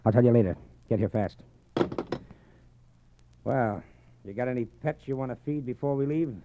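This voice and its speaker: An actor playing a sullen, tense killer on the run in a 1940s radio suspense drama, with a curt, commanding manner. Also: male voice, medium pitch, moderate pace, narrow, tinny audio, close to an everyday voice. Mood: urgent, then impatient menace. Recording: faintly noisy 1940s radio disc.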